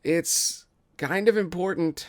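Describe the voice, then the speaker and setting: Glib young man acted by a male reader, evasive and casual, pitched up, stumbling through excuses in a table read. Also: slow pace, dry recording, studio quality. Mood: anxious. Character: glib, fibbing young man, overlooked brother. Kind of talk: table read of a musical script.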